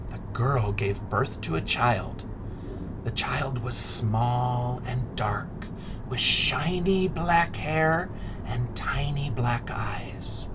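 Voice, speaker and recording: Middle aged guy, reading a kids book out loud, really animated pitch, bit of noise in the background. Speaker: teacher reading a picture book aloud. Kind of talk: expressive children's read-aloud with asides and questions.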